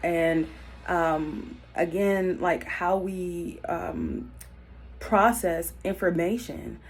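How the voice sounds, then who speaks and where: A woman in her thirties talking unscripted to camera, earnest and reflective, with many pauses and filler words as she gives life advice. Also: on the low side, fairly even intonation, slightly rough. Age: 30s. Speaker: YouTube self-help vlogger. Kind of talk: unscripted personal talk to camera.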